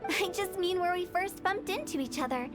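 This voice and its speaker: A quirky teenage girl who runs a funeral parlor in a video game. Her voice is high and youthful, with theatrical, articulate phrasing and a playful, mysterious air. Music plays clearly underneath. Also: moderate pace. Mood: playful, teasing. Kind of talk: video game voice acting, storytelling.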